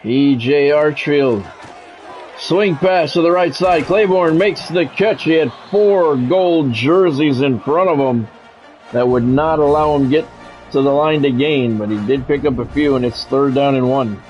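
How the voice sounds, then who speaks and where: A man's voice: a little high, a little slow, darkish tone, dull audio, faint music behind. An American man calling live football video game play-by-play in clipped announcer phrases, with casual asides. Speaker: play-by-play streamer.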